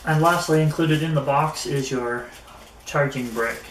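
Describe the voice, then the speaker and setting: A man giving a casual hands-on product review, speaking in a halting way with pauses mid-phrase. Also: on the high side, a bit bright. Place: indoors in an echoey room. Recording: roomy echo, faint background noise.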